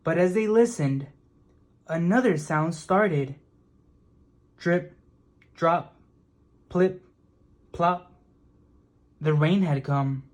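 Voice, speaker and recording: A person reading a children's picture book aloud with expressive, measured narration. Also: medium pitch. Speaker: storybook reader.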